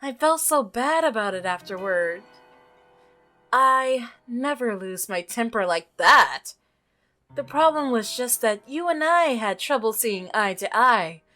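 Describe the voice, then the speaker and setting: A woman voicing an obsessive, unsettling milkman in a visual novel, soft-spoken, coaxing and falsely reasonable. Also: a little high, expressive, unhurried. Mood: creepy, coaxing.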